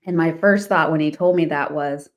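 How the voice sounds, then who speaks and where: A woman's voice: deep voice, normal speed, highs cut off. A woman from the Washington, D.C. area, a guest in an interview telling her life story casually, laughing often and restarting her sentences. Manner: laughs often while talking, many restarts. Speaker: guest, real estate investor.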